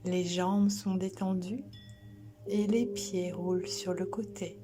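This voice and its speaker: A woman guiding a sleep relaxation as a yoga instructor, soothing and gentle, soft and breathy, often near a whisper, with long pauses. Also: fairly low pitch, fairly even intonation, soft background music.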